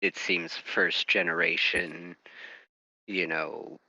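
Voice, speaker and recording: A man's voice: low voice, flat delivery, slow pace, vocal fry, pushed and bright, no echo, dull audio. A man on a casual podcast panel, talking in a laid-back way. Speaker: co-host.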